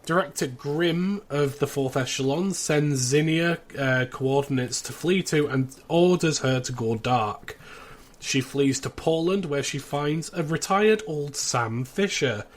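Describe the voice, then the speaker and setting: A man's voice: fairly high pitch, unhurried. A man co-hosting a podcast, chatting casually and running through summaries with wry, dry asides. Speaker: podcast co-host presenting the episode. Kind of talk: casual podcast chat with synopsis rundown.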